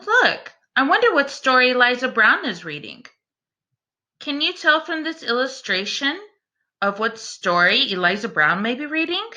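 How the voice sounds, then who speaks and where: Woman, children's storytime teacher: bright, clear-cut delivery, unhurried and expressive, speaking straight to young listeners. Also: on the high side. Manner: expressive, deliberate, addressing young listeners directly. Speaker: teacher reading a picture book aloud to children. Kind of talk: animated children's read-aloud with questions to listeners.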